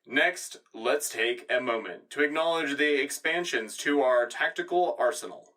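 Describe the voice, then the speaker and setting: An actor playing an earnest, patriotic wartime news anchor reading a formal, scripted sci-fi radio broadcast. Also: male voice, medium pitch, unhurried, pushed and bright, far from the mic, rough recording. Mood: proud, official. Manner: formal, announcer-like. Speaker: radio co-host.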